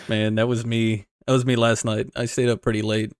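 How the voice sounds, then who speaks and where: A man's voice: medium pitch, level tone, a little slow, clean tone, no room sound, clean studio sound. A young man from the United States co-hosting a gaming podcast in a laid-back, chatty way, laughing often. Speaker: podcast co-host. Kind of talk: casual gaming podcast chat.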